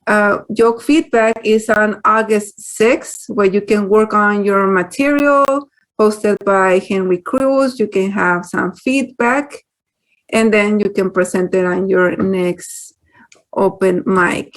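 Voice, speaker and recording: A woman hosts a comedy open mic, announcing acts and events. She talks slowly and calmly, at a medium pitch. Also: low-quality recording. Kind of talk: hosting announcements.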